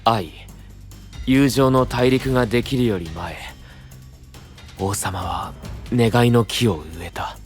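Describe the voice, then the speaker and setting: A man's voice: medium pitch, slow, long pauses, soft background music, lightly acted. An actor playing a serious, burdened young male knight, grave and restrained, in a fantasy voice drama. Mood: troubled, pondering.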